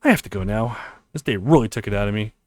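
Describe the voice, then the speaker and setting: A man's voice: lowish voice, lively intonation, fast talker, a little mellow, no echo, clean studio sound, pitched below the performer's own voice, natural voice. A man voicing a discouraged, doubtful young female dance teacher in a visual novel, skeptical and downcast. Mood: disappointed.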